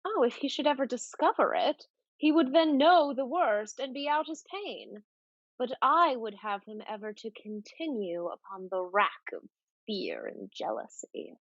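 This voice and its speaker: Actress as scheming Restoration noblewoman: high, slow, very expressive, arch and proud, slightly dull close recording. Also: no echo. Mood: arch, teasing. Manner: theatrical, deliberate, arch delivery. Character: scheming society lady, arch, proud, secretly in love. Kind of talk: Restoration comedy stage acting.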